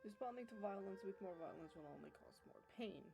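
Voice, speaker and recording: A woman's voice: mid-range voice, animated, fast talker, creaky. A woman playing a video game, reading dialogue aloud and adding casual commentary. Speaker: gaming streamer. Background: game music.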